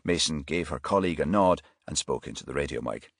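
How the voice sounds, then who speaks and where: A man's voice: a bass voice, lively intonation, a little fast, dry recording, studio quality. A man reading a crime novel aloud as an audiobook narrator, in a scripted storytelling style.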